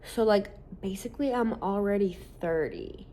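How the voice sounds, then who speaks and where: A woman's voice: medium pitch, expressive, normal speed, mellow, slight background noise. A woman in her late twenties talking candidly in a casual vlog, rambling with lots of "like" and casual swearing. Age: late 20s. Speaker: social media creator.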